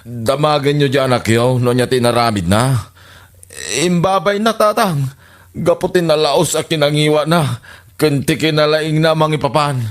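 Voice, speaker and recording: A man's voice: a little high, normal speed, lightly acted. A man playing an aggrieved complainer in a comedy radio drama. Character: aggrieved man complaining about a woman to her father.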